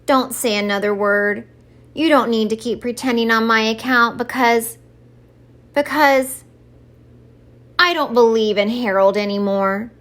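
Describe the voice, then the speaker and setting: A woman's voice: on the high side, steady tone, unhurried, pauses often, fairly bright tone, voice pushed up. A woman voicing a mopey, suspicious, stubborn old Santa Claus in a children's storybook, dramatic and insistent. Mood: choked, dramatic. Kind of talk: expressive children's storybook read-aloud.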